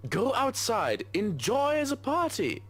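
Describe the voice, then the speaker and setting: Imperious German butler, stern and suspicious, highly animated intonation, visual novel game. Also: male voice, slow, full character voice. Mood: stern warning. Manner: clipped, imperious, scolding.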